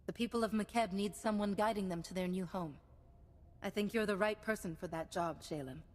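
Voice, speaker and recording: An actress playing a calm, principled government agent in a science-fiction video game, speaking in a measured, firm, diplomatic way. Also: female voice, medium pitch, natural voice. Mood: calm, confident. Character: composed, principled female Republic agent, calm and confident. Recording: long hall reverb, music behind.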